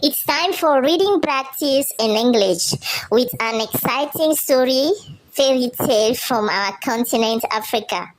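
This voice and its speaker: A woman English teacher introducing a reading lesson, cheerful, slow and clear. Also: high voice, animated, low-quality recording. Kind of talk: classroom introduction.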